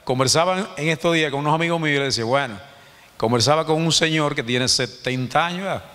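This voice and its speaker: A man's voice: a little high, fast talker, sharp tone. A Dominican man in his fifties giving an informal Sunday teaching to a congregation, chatty and joking, often checking in with his listeners. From Dominican Republic. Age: 50s. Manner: conversational, joking, asks the congregation "¿verdad?". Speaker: church teacher. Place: church hall. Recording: long hall reverb.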